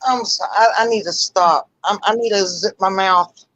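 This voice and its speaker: A woman chatting casually on a livestream call, talking loudly and rambling. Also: medium pitch, normal speed, dry recording, dull audio. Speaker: livestream guest.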